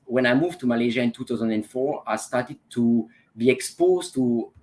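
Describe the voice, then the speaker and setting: A man's voice: medium pitch, moderate pace, darkish tone. A Belgian man in his forties, a transformation coach talking as an interview guest, with frequent fillers and restarts. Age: 40s. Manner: hesitant, many fillers and restarts. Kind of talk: casual interview talk.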